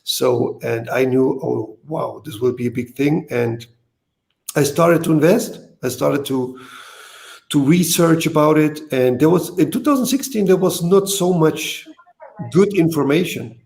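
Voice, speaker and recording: Gravelly German-accented man, halting speech. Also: fairly high pitch, animated, darkish tone. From East Germany. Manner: hesitant, repeats words, non-native phrasing. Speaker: guest. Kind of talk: casual interview talk. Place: video call.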